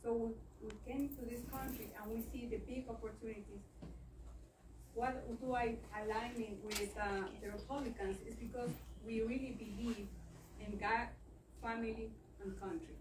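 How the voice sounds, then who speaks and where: A middle-aged woman gives an earnest, plain-spoken talk at a meeting. Her voice sits a little high, and it reaches the mic from some distance across an echoey room with faint noise behind. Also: steady tone, normal speed. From Ecuador. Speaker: guest speaker. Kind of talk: public speech at a meeting.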